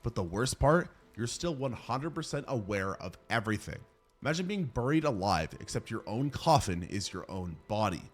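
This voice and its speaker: A man's voice: on the low side, very animated, moderate pace. A man narrating a scripted horror countdown as host, dramatic and emphatic with sardonic asides.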